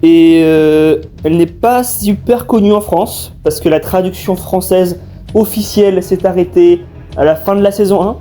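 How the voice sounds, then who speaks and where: A young man explains a project informally in a slightly high voice. His tone is soft and mellow, and his delivery is halting, over faint music. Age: young adult. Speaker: fan dub creator. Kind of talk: informal question-and-answer explanation.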